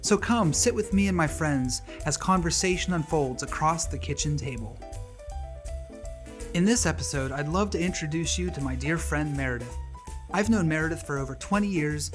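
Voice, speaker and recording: A man reads a scripted podcast introduction in a warm, measured tone. Clear music plays under his voice throughout. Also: on the high side, animated. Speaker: podcast host. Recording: narration over intro music.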